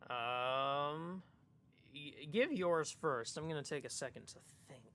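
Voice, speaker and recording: A man's voice: a little high, slow, sharp tone, no room sound. A man co-hosting a casual chat, thinking aloud and hesitating as he works out his answers. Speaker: podcast co-host.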